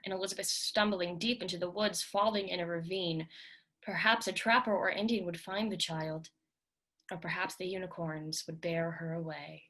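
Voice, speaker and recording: A woman's voice: fairly low pitch, a bit bright. A woman giving a dramatic reading of literary fiction as scripted narration.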